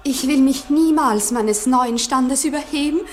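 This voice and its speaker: An actress playing a pious, innocent young bride-to-be with fervent rapture in a 1960s radio drama. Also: female voice, sits high, unhurried. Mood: overexcited. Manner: fervent, solemn, exclamatory to hushed. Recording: 1960s radio recording, faint hiss.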